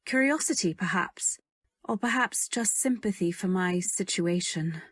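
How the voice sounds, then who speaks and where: A woman's voice: fairly low pitch, animated, a little slow, breathy edge, no room sound. A woman playing a modest, earnest young companion, narrating and speaking politely and wistfully in a radio drama. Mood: wistful narration. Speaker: narrator and heroine. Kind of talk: first-person narration in old-time radio drama.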